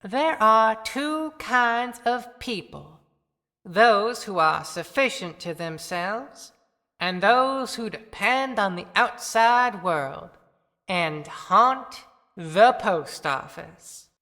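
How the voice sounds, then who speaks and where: A man playing a bright-voiced, musing postmaster. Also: high voice, animated, drawn-out words, full character voice. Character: reflective small-town postmaster sizing up townsfolk. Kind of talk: theatrical audio play monologue. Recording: long reverberant echo.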